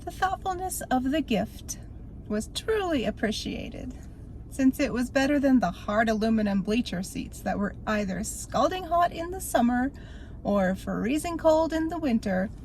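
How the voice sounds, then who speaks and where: An older woman reading a spooky story aloud in an expressive, theatrical storytelling manner. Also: fairly high pitch, measured pace, slight background noise, poor audio.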